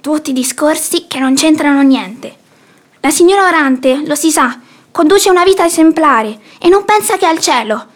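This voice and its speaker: A woman's voice: high-pitched, expressive, moderate pace. An actress playing an imperious, sanctimonious old mother-in-law in a theatrical radio play, haughty and preachy. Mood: stern, disapproving.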